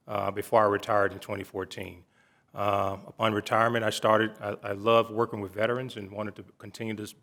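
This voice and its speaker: A middle-aged man speaks at a city council meeting in a fairly low voice. He talks earnestly, stopping and restarting his sentences as he goes. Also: fairly bright tone. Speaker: city commission member. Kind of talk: public meeting remarks.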